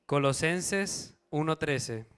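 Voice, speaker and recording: A man's voice: fairly high pitch, lively intonation, takes it slowly, fairly bright tone, smooth. A man preaching to a church congregation. He reads out verses, asks the congregation questions and checks in with them. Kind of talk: Bible study sermon to a congregation.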